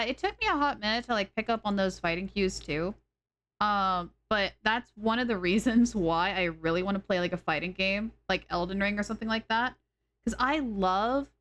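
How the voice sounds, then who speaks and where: A woman streaming a game, chatting casually and rambling as she thinks out loud. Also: medium pitch, normal speed. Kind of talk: casual live-stream chat.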